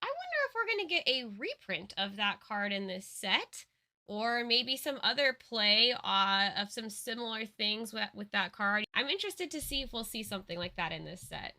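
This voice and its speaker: A woman's voice: on the high side, sing-song, normal speed, bright, forceful tone. A woman co-host chatting casually, giving commentary and retelling parts of a story.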